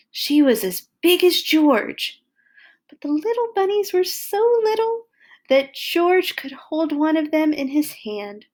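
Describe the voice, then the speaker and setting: A woman hosting a read-along, reading a children's picture book aloud with animated, exaggerated emphasis for young listeners. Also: high-pitched.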